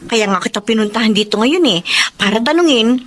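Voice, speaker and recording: High, animated, coaxing woman friend. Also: fairly quick. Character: pushy, concerned female friend of the wife, coaxing. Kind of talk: Filipino radio drama acting.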